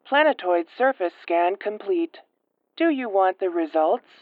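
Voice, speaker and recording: A woman's voice: mid-range voice, lively intonation, unhurried, no echo. A woman voicing a literal shipboard computer in a sci-fi audio roleplay, giving clipped, emotionless announcements through a narrow filter. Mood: impassive. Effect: narrow phone-like computer filter. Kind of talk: science fiction audio roleplay. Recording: thin phone-like band, dry.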